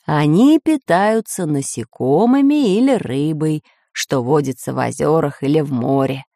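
A composed, scholarly geographer, voiced by a woman, explaining firmly in a slow, lowish voice. The manner is matter-of-fact, suited to a storybook expert. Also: lively intonation, dark tone, no echo, studio quality, heavily acted. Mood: explanatory.

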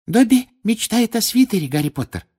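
A storybook reader voicing a timid, devoted little male house-elf with a squeaky, breathy voice and halting, servile speech. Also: sing-song, warm tone. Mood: grateful, eager. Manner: halting, servile, speaking of himself in third person.

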